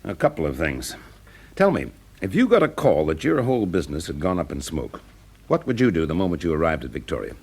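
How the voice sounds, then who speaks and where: Low, husky British fire investigator. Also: male voice, wildly swooping pitch, a little fast, a little mellow, full character voice. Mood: purposeful, reasoning. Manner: probing, businesslike, clipped questions. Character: methodical, shrewd male fire investigator, quietly in command. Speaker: actor in a radio drama. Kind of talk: classic British radio drama acting.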